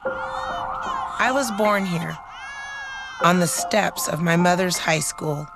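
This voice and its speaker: A woman giving an earnest, deliberate personal testimony in an advertisement. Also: lowish voice, huge pitch swings, music in the background.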